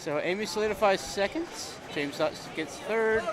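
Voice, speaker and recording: A man's voice: high voice, normal speed, poor audio. A man in his mid thirties, co-commentating a pinball league stream in an easygoing, laughing, chatty way. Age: 30s. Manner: easygoing, laughs often, nostalgic. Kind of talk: casual chat. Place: bar and arcade.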